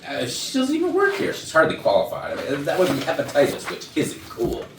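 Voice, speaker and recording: A clueless, blustering male executive in a stage comedy acted by a man. He talks fast and muddles his words with a know-it-all air. The recording is echoey, with faint noise. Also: a little high, huge pitch swings. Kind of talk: staged reading of comedy dialogue. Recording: noisy roomy rehearsal, far from mic.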